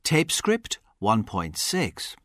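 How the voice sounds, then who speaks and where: A man announces track numbers for a language course in a formal, measured voice. He speaks very slowly, with his pitch moving widely. The studio is dry. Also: huge pitch swings, fairly bright tone, no room sound. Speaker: course narrator.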